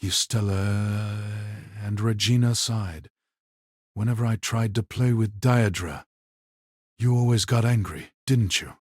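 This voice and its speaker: A man playing a gloomy, hoarse dressmaker mage who questions in a measured way, in an audiobook drama. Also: lowish voice, darkish tone, no room sound, studio quality. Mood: wistful, pointed. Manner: measured, probing. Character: gloomy, probing male dressmaker mage devoted to beauty.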